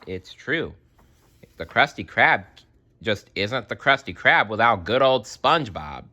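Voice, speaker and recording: A male storyteller with a low, bright voice reads a children's tale aloud. He is expressive and takes his time, dropping in wry asides. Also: unhurried, muffled recording.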